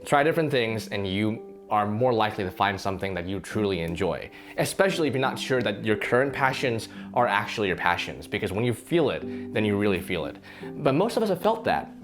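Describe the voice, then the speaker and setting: Fitness guy a bit hoarse, earnest rambly chat to camera, bouncy lively voice, soft guitar music behind. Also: on the low side, fairly quick. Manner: earnest, rambling with restarts and fillers. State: slightly hoarse. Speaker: fitness channel host. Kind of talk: casual talking-to-camera reflection. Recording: faint guitar music underneath.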